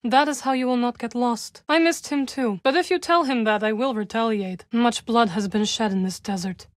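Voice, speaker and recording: A solemn young witch warrior from a video game, played by a woman. Her voice sits a little high and she chooses her words formally, with measured care and a guarded, wry edge. The sound is dry, with no room around it. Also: dry recording, natural voice. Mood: calm, reflective. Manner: measured, formal phrasing with few contractions. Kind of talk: video game character dialogue.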